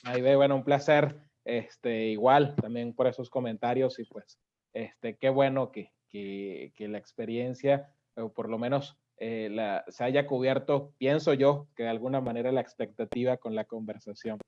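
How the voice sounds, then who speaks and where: A man's voice: mid-range voice, steady tone, measured pace. Courteous man on a dull call. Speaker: guest speaker. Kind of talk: informal, polite conversation. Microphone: video call. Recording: dull video-call audio.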